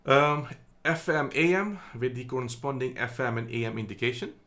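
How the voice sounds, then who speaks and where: A man's voice: medium pitch, normal speed, a bit bright. A man from the Netherlands giving a casual product review, chatty and hesitant, with many ums and self-corrections, recorded on a phone indoors. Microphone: phone camera.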